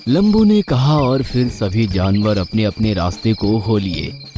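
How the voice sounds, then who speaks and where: A man narrating a scripted children's story in an expressive storytelling manner. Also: lowish voice, measured pace, music in the background.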